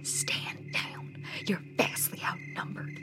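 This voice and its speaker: An actress playing a vindictive, self-righteous Confederate soldier in a radio drama, formal and commanding. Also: female voice, slow, in a whisper, music in the background, close to an everyday voice. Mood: menacing.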